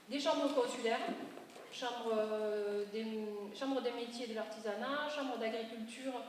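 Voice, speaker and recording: A woman giving an explanatory public presentation as an urban planning consultant, going step by step in a didactic way and correcting herself as she goes. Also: on the high side. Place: large reverberant meeting room, audience present. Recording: very echoey hall, distant mic, faint noise.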